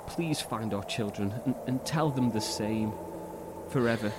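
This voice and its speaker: An actor playing an ailing, desperate husband and father in a horror audio drama, speaking tenderly and urgently in halting phrases. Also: on the low side, very noisy, close to an everyday voice. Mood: tender, pleading.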